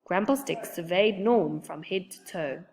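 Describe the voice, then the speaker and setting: A woman reading a children's book aloud in an expressive narrating style. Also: lowish voice, unhurried. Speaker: storybook reader.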